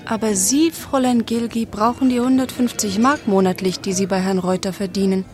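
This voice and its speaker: An actress narrating a German radio play in a playfully ironic, scripted style. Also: female voice, medium pitch, steady tone, fairly quick, natural voice. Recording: music behind the voice.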